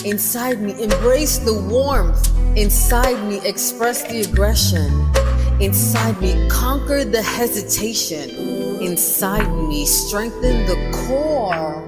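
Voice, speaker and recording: A woman from New York reciting spoken word poetry in short measured phrases. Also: medium pitch. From the Bronx, New York. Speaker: poet. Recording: loud music under voice. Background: loud music.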